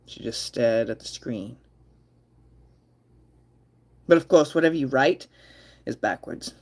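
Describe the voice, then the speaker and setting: Playful woman streamer with a lively voice. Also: a bass voice, moderate pace. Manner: playful, laughs often, reads chat aloud. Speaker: livestream host. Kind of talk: casual livestream chat.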